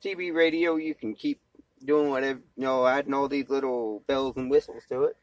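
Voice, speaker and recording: A man's voice: medium pitch, steady tone, a little fast, dry recording, rough recording. Folksy man rambling casually to his phone. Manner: folksy, plainspoken, slangy. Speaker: amateur vlogger and CB radio hobbyist, former truck driver. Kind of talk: casual rambling vlog talk. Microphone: cell phone.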